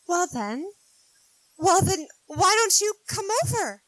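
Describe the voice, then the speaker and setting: A woman's voice: very high-pitched, very animated, slow, fairly bright tone, no echo, full character voice, poor audio. A woman playing an outspoken, spirited young woman in a 1940s-style radio play, talking eagerly and frankly. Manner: eager, chattering, frank, giggly. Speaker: radio play actor and narrator.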